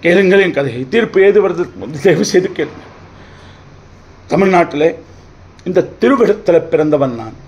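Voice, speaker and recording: A man playing a wronged, defiant accused brother pleading his case in court, declaiming in theatrical old Tamil film oratory with dramatic pauses. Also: high-pitched, animated, darkish tone. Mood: proud, impassioned.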